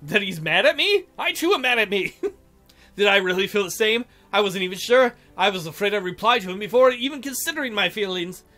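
A man's voice: high voice, expressive, brisk, sharp tone, soft background music. A man reading a visual novel aloud in a theatrical way, adding irreverent joking commentary.

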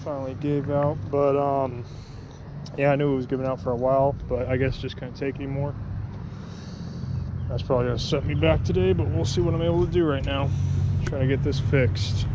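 Male motorcycle travel vlogger, plainspoken and casual, even delivery, clear outdoor ambient noise. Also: medium pitch, a little fast, dull audio. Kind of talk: casual vlog chat. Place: outdoors by the roadside. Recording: noisy outdoor recording with traffic ambience.

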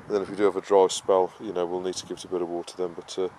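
Low, even-voiced man chatting while gardening. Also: rapid-fire. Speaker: gardening vlogger. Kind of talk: casual explanatory chat while gardening. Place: outdoor vegetable garden and greenhouse. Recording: clearly noisy outdoor ambience, uneven distance.

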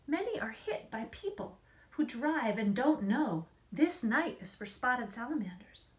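Expressive woman reading aloud, higher voice. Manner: expressive, emphatic storytelling with dramatic pauses. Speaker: children's author reading aloud. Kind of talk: expressive picture-book read-aloud and explanation to children. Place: small indoor room against a plain wall.

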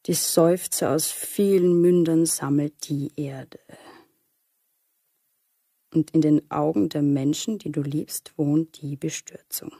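A man performing a somber, brooding speaker who recites poetry in a radio play, with a husky, creaky voice. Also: a little high, fairly even intonation, slow, dark tone, no room sound, clean studio sound, heavily acted. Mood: grave, brooding. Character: somber poetic prologue speaker, grave and brooding.

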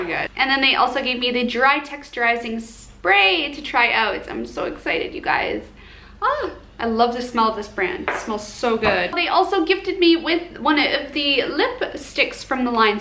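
A young woman with a fairly high voice chats casually as a vlogger. She is bubbly and pokes fun at herself in an informal way. She records indoors at home, with noticeable room noise behind her. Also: sharp tone. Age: 20s. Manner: bubbly, self-deprecating, informal. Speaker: beauty and lifestyle vlogger. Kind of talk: casual chatty vlog.

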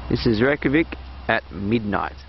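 A man's voice: lowish voice, lively intonation, normal speed, gravelly. An Australian man giving a casual, chatty, unscripted travel narration with bits of science explained informally. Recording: dull, lo-fi audio with loud background noise.

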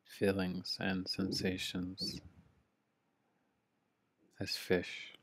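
A man with a very low voice guides a meditation from home over a video call. He speaks slowly and almost without pitch movement, leaving long pauses between short phrases. The sound is dry and close. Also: completely flat delivery, dry recording. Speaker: meditation teacher. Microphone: video call mic.

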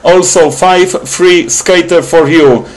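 A man's voice: sits high, takes it slowly. A man working as an amateur radio operator, making clipped, repetitive contact calls with phonetic call signs. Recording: noisy room with radio static.

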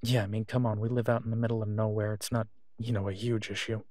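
A man playing a tired, devoted and protective werewolf in an intimate audio drama phone call, speaking in a halting, reassuring way. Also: on the low side, flat delivery, darkish tone, no room sound, studio quality, close to an everyday voice. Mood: reassuring, casual.

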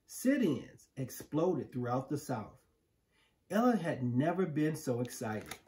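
Slow, expressive American man reading a storybook. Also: mid-range voice, a little hiss or hum. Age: middle-aged. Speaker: storybook reader. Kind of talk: read-aloud of a children's picture book. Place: living room, indoors on a couch. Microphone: camera a few feet away.

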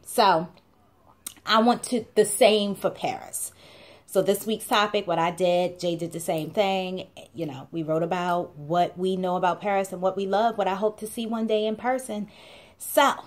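A woman's voice: on the low side, normal speed. A young woman talks straight into her camera from a small room. Her delivery is chatty and playful, with emphatic repeated phrases that drive each point home. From Baltimore, USA. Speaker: blog co-host. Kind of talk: casual talking-to-camera vlog.

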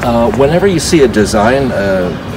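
A man in his forties giving a casual walking tour to camera outdoors, explaining informally and haltingly as he points things out. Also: medium pitch, big pitch swings, music in the background. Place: outdoors in a paved city square. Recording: noisy outdoor city ambience with traffic.

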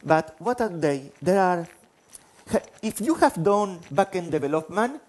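A man's voice: high voice, animated, unhurried. A man in his forties from Spain with a Spanish accent, giving a conference talk on stage, self-deprecating, restarting phrases. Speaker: conference speaker. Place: large conference hall stage.

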